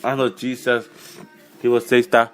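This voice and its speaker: A man from Bahia, reading radio news headlines informally and haltingly, with self-corrections, colloquial asides and a slight cold. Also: medium pitch, monotone, clean tone. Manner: colloquial, halting, stumbles over words and self-corrects, tags remarks with questions. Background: music.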